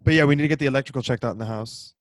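A man's voice: mid-range voice, very fast, no echo, studio quality. A man co-hosting a podcast, joking around crudely in casual chat over a video call. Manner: joking, crude, mock-macho bravado. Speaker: podcast co-host.

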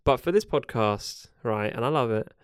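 A man's voice: mid-range voice, expressive, moderate pace, clean tone, dry recording, studio quality. A man with a New Zealand accent, co-hosting a casual home podcast, rambling and correcting himself as he goes. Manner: rambling, self-correcting, laughs often. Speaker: podcast co-host. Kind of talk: casual chat. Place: home recording setup. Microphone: podcast mic.

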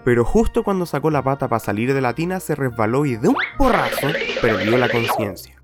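A man's voice: mid-range voice, big pitch swings, a little fast. Chilean male storyteller over music. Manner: colloquial storytelling. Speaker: narrator. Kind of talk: scripted story narration for radio play.

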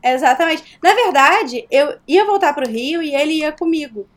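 A woman from Rio de Janeiro with a Carioca accent, a guest chatting casually in an interview, animated and quick to laugh. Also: high-pitched. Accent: Carioca Portuguese. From Rio de Janeiro, Brazil. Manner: animated storyteller, laughs often.

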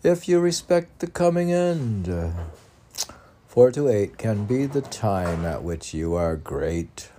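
Male poet for meditative verse reading; very unhurried, soft-edged dark tone, halting phrasing with emphatic lifts. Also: fairly low pitch, sing-song, slight background noise. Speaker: poet reading own work. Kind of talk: recited spiritual poetry reading.

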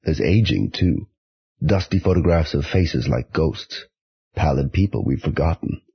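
A man presenting a scripted poetry podcast and reading verse in a measured, deliberate way. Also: a bass voice, animated, vocal fry, breathy edge. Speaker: podcast host and poetry reader. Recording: slightly dull, music behind.

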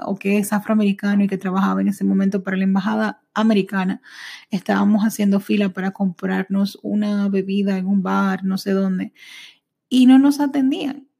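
A woman in her thirties shares her own life story on a podcast she records at home. Her voice is mellow and warm, at an ordinary middle pitch. She talks intimately and reflectively, often pausing on a soft "eh". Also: vocal fry. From United States. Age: 30s. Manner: intimate, reflective, frequent "eh" pauses. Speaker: podcast host. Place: small home apartment. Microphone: studio microphone.

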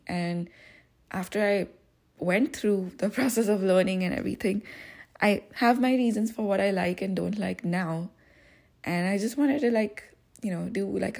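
A woman talks alone on her podcast in a relaxed, reflective ramble full of "um" and "like." Her voice sits fairly low and has a mellow, dark color. A gravelly fry runs under her words. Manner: reflective, rambling, many fillers. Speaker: podcast host. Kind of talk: casual solo podcast talk.

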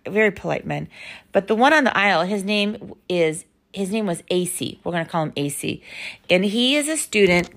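A woman hosting a podcast in an informal, conversational and emphatic way, telling personal stories in a slightly hoarse voice. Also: fairly low pitch. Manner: conversational, emphatic, uses rhetorical questions. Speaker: podcast host.

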